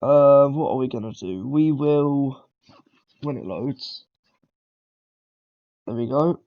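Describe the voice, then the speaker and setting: A man's voice: medium pitch, animated, takes it slowly, muffled recording. A man, talking casually through a beginner coding tutorial, rambling and correcting himself as he goes. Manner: rambling, self-correcting, lots of ums and throat clearing. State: has a cold. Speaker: tutorial maker.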